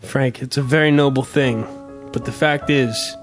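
A man's voice: mid-range voice, steady tone, moderate pace, faint music behind. A man in casual comedic banter, talking in a teasing, mock-condescending way with plenty of swearing.

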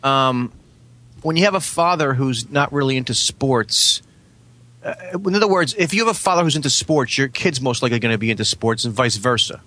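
A man chatting casually in a halting, insistent way, repeating himself and sometimes swearing. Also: mid-range voice, lively intonation, bright, forceful tone, no echo. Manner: halting, repetitive, insistent, profane. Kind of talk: casual chat.

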